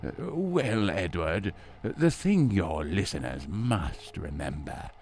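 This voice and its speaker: A man's voice: deep voice, big pitch swings, slow, darkish tone, a little hiss or hum, exaggerated voice. An actor playing a pompous, ponderous male official giving a propaganda interview in an audio drama. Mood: self-important.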